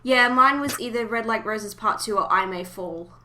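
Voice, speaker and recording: Bright-voiced woman hosting a casual podcast. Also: on the high side, normal speed, a little hiss or hum. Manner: informal, chatty. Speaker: podcast host. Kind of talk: casual fan podcast chat.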